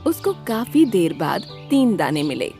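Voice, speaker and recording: A woman's voice: medium pitch, sing-song, unhurried, dark tone. A woman reading scripted narration for a children's story as the storyteller. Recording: music behind the voice.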